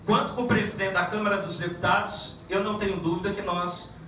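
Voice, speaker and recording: A man who is a federal deputy giving a halting public speech at a meeting, with many restarts and fillers. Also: high voice, fairly even intonation, bright, forceful tone, a little hiss or hum. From Paraná, Brazil. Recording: echoey room from far off.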